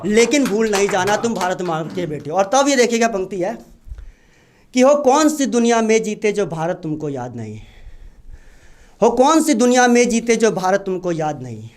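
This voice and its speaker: Male open-mic poet with a very high voice, declaiming verse and repeating key lines. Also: very animated, moderate pace. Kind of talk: patriotic poetry recitation at an open mic.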